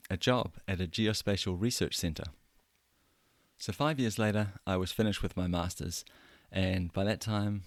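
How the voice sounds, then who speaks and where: New Zealand accent, man in his thirties, very low voice, dry close mic, earnest podcast host, self-deprecating, halting restarts. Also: dry recording. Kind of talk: solo podcast monologue.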